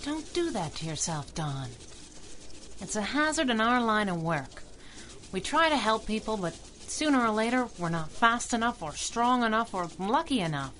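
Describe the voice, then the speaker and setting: A woman's voice: fairly high pitch, big pitch swings, normal speed, fairly bright tone, a little hiss or hum. A woman playing a plucky, loyal young sidekick in a 1930s-style radio serial, earnest and slangy. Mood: consoling.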